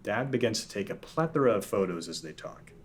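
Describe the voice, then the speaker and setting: A man's voice: lowish voice, lively intonation, a little fast. A man narrating a script at a table read, reading the stage directions plainly.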